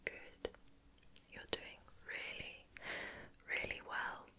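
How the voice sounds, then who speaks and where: A woman whispering softly and breathily as she gently guides a relaxation ASMR session, soothing and unhurried. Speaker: ASMR host guiding relaxation.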